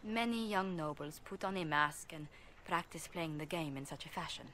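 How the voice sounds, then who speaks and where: Polite noble lady ambassador, earnest n gentle, game cutscene, slight background noise. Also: low-pitched, moderate pace. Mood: composed, relieved. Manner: polite, formal, carefully worded. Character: poised, courteous noblewoman ambassador, former bard, gentle and earnest. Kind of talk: video game cutscene acting.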